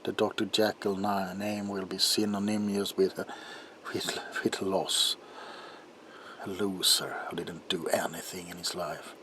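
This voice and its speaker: Guy doing a troubled desperate doctor, asmr roleplay, real slow n hushed, halting, some noise in the recording. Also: deep voice, level tone. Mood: desperate, confessional. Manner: halting, hushed, dropping into whispers, with sighs. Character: troubled doctor protesting innocence, desperate and haunted. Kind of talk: ASMR roleplay, dramatic monologue. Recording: audible background noise.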